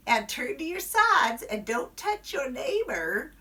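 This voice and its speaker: A bossy, cheerful first-grade teacher, as a woman storyteller puts her on, giving orders in a lifted sing-song. The voice rides high and swings widely, with a slight gravel underneath, heard from a mic set far back. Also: big pitch swings, slow pace, pushed and bright, heavily acted. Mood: instructing. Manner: sing-song commanding classroom instructions.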